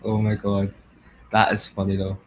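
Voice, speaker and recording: A young man with a Glasgow-area Scottish accent, chatting excitedly and laughing as he comments on a live stream. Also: fairly low pitch, moderate pace, a little hiss or hum, poor audio. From Scotland.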